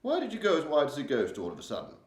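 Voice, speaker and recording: A man's voice: a little high, wildly swooping pitch, racing, pitched above the performer's own voice. A man voicing an elderly queen. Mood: alarmed, regal. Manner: refined, upper-class, exclaiming. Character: elderly queen, regal, shaken by a nightmare. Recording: roomy echo.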